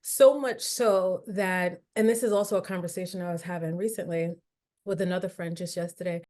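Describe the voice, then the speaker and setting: A woman hosting a podcast conversation in a somewhat low voice. She affirms her guest often with quiet "yeah"s and answers in a relaxed, thoughtful way. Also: a little fast. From United States. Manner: affirming listener, frequent "yeah" and "mm". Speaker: podcast host. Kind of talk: conversational interview.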